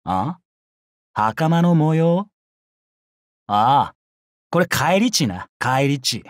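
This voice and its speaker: An actor playing a brash, bloodthirsty young male samurai in a mobile game, with rough, swaggering speech. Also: on the high side, sing-song, very slow, no echo, clean studio sound, heavily acted. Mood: casual, cocky. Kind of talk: Japanese mobile game character voice lines.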